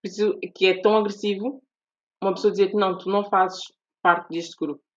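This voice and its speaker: A woman's voice: mid-range voice, steady tone, brisk. A Portuguese woman with a European Portuguese accent, a guest author explaining her views earnestly in a podcast interview, often restarting her sentences. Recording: dull lo-fi audio.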